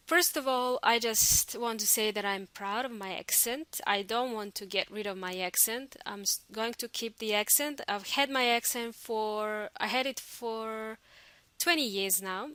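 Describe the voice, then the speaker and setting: A woman's voice: on the high side, pushed and bright, dry recording. A woman with a Spanish accent, an English teacher talking through a podcast lesson in a chatty, encouraging, unscripted way with fillers and restarts.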